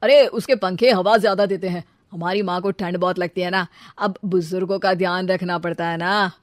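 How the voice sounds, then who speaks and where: A woman's voice: on the low side, steady tone, normal speed, dry recording, studio quality, natural voice. A woman voicing a boastful, fibbing young man in a comic story, making glib, stammering excuses without shame. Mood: boastful, breezy. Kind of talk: comic Hindi audio story dialogue.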